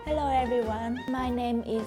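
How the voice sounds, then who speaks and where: A woman's voice: fairly high pitch, fairly even intonation, normal speed. A woman from Vietnam who answers in short, clipped phrases and giggles. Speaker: interviewee. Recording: background music.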